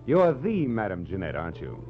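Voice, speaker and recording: A hard-boiled private eye from an old radio play. His voice is deep and his delivery cool, sardonic and smooth. The recording is dull and lo-fi, with faint music behind him. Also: huge pitch swings, a little fast, exaggerated voice. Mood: wry, teasing. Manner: sardonic, clipped, smooth-talking. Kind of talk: 1940s radio drama acting and noir narration.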